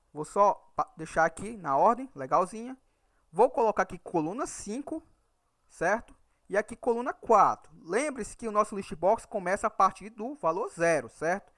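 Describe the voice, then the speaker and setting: A man's voice: high-pitched, a bit bright, no echo, clean studio sound. A man from Ceará with a northeastern Brazilian accent, teaching a programming tutorial and checking in with "right?" after nearly every point. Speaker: Excel VBA instructor. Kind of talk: screen-recorded programming tutorial.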